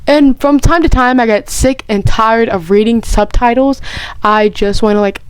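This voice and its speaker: Gushing, excitable young-sounding woman. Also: fairly high pitch, normal speed, clean tone, dry recording, low-quality recording. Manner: gushing and excitable, rambling with many restarts; chatty, heavy use of "like". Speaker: vlogger answering personal questions. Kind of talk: casual chat.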